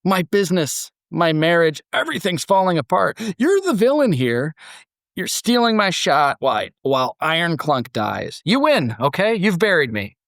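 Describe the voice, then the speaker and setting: Man acting out a desperate shop owner, raised pitch, raw, pleading, bitter, audio drama, dry sound. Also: sing-song, moderate pace, gravelly, fairly bright tone, no echo, heavily acted. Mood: desperate, accusing.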